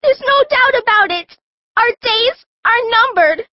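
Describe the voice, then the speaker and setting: A performer voicing a small, earnest, excitable young pig in a children's audiobook, with emphatic delivery. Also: very high-pitched, a little slow. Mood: tearful dread. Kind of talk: audiobook character acting. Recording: dull, lo-fi audiobook sound.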